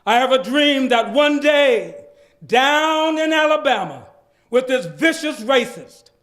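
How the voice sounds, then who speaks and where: A man's voice: very high voice, animated, slow, fairly bright tone. A man giving a dramatic reading of a speech in preacher-like civil rights oratory, emphatic and declamatory, drawing out phrases and leaning on repetition. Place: live public event at a heritage center.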